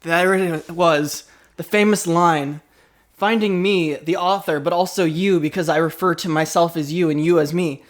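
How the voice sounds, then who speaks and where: A man's voice: fairly high pitch, steady tone, moderate pace, close to an everyday voice. A man voicing an eager, smitten self-insert fan fiction hero in an improvised comedy radio play, overblown and melodramatic.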